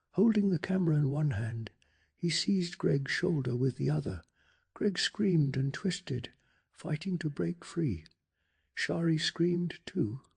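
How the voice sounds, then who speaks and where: A man reads a scripted story in a very husky, breathy ASMR voice. The pitch sits a little high for a man, with a gravelly fry running through it. His delivery is hushed and dramatic, made for bedtime listening. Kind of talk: soft-spoken ASMR bedtime story narration.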